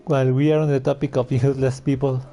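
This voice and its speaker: A man's voice: medium pitch, flat delivery, quick pace, warm tone, faint music behind. A man giving casual, informal, sweary commentary while he plays and reacts to a video game. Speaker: gamer playing and reacting on video.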